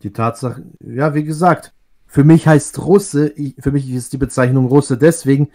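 A man's voice: mid-range voice, moderate pace, a little mellow. A man from Russia talking in a casual online group chat, thoughtful and often restarting his sentences. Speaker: discussion guest.

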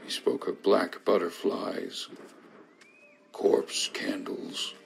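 A grim castaway telling of island terrors for a horror game, his deep, gravelly voice moving slowly and heavily. Music plays clearly underneath his somber, measured narration. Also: level tone, exaggerated voice. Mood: haunted. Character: grim shipwrecked man recounting island horrors.

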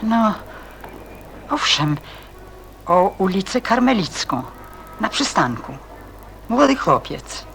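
A woman's voice: medium pitch, sing-song, slow, pauses often, fairly bright tone, exaggerated voice. Radio drama role: courteous elderly woman, anxious and easily tired, swinging intonation, audible background noise. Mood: thoughtful. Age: older. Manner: fretful, courteous, hesitant. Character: worried elderly lady, polite, self-reproaching, easily tired. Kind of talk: Polish radio play acting. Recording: faintly hissy radio play with ambience.

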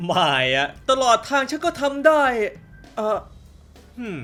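A woman's voice: on the high side, sing-song, takes it slowly, a bit bright, heavily acted. A woman voicing a goofy, cocky but easily spooked young male hero in a game fan dub, hesitant and flippant. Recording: music behind the voice.

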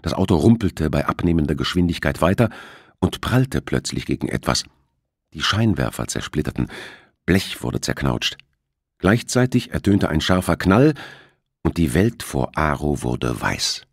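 A man with a husky voice reading a thriller novel as an audiobook narrator. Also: extremely low pitch, very animated, normal speed, dry recording, studio quality.

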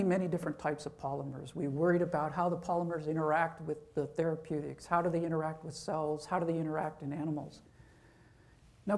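A man's voice: on the high side, a little mellow. A man, a professor, giving an informal university lecture, talking conversationally and checking in with "okay?".

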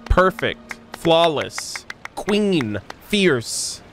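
A young man reacting to a game as a streamer, expressive, slangy and casual, close on the mic. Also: fairly high pitch, drawn-out words, faint music behind. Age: young adult. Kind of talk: excited casual gameplay reaction. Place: small room at home, indoors. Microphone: close microphone on boom arm.

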